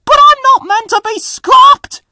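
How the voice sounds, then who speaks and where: A man's voice: extremely high pitch, unhurried, sharp tone, dry recording, studio quality, voice pushed up, heavily acted. A man voicing a cheerful but nervous little steam engine in a storybook, eager and easily frightened.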